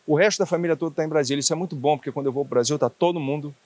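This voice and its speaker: Sixties guy w a faded bahian accent, tells stories n laughs a lot, bit high voice. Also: rapid-fire. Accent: faded Bahian Brazilian Portuguese. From Bahia, Brazil. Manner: chatty, laughing, lively when telling anecdotes. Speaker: guest, former diplomat. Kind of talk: relaxed storytelling interview.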